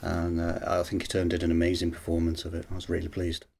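A man speaking as a writer in an interview, thoughtful and hesitant, pausing as he explains his work. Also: low voice, completely flat delivery, vocal fry, darkish tone. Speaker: short story writer. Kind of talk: interview talk about writing.

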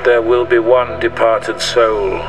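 A man's voice: medium pitch, flat delivery, takes it slowly, over music, natural voice. A man playing a wry, tender groom speaking hesitantly at his outdoor wedding in an audio drama. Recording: noisy outdoor scene, distant from mic.

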